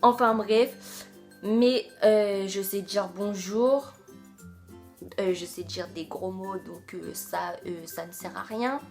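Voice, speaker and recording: A woman's voice: medium pitch, takes it slowly, soft background music. A young woman with a French accent chatting casually to camera as a vlogger, hesitant and full of fillers. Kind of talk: casual video chat.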